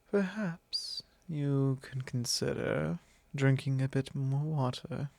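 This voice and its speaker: A man's voice: mid-range voice, creaky, no room sound. A man playing a possessive, courtly vampire lord in an ASMR roleplay, speaking formally and condescendingly in an intimate monologue. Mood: teasing, intimate. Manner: formal, unhurried, condescending, with soft chuckles.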